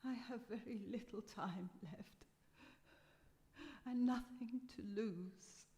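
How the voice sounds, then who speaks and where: Woman playing a slow, frail, gracious old lady. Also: medium pitch, dark tone, close to an everyday voice. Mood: firm, wistful. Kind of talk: live radio drama acting. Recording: reverberant hall, live stage performance.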